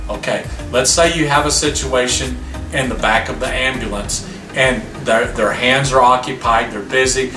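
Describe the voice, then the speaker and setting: A man's voice: medium pitch, recorded from a distance. Man, product demonstrator, plainspoken explainer, off-mic in a roomy space, music bed behind. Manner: plainspoken, step-by-step explaining with rhetorical questions.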